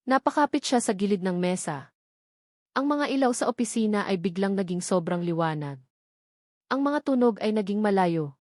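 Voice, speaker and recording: A woman's voice: medium pitch, a little mellow, no room sound, clean studio sound. A woman giving scripted, dramatic narration of a romantic story as its narrator.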